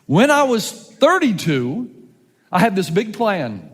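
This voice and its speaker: A man in his fifties, a pastor, preaching a sermon with animated, joking delivery and rhetorical questions. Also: high-pitched, slow, breathy edge, in a large hall. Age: 50s.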